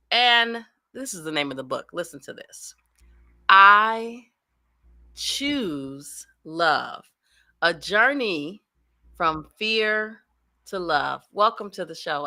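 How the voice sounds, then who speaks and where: Slow, bright-voiced woman host. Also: medium pitch, expressive, no echo, studio quality. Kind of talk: podcast interview hosting.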